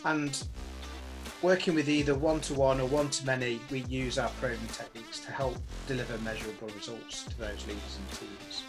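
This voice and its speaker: A man's voice: mid-range voice, fairly even intonation, fairly quick, music in the background. A man speaking as a podcast host, introducing the show and his guest.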